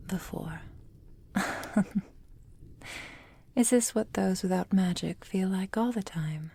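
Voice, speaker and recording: Slow, whispering immortal witch played by a woman. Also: fairly low pitch, darkish tone, full character voice. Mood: weary. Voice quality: breathy, whispery. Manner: slow, tender, intimate delivery. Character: doting, possessive immortal witch mentoring her beloved apprentice. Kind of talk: ASMR roleplay.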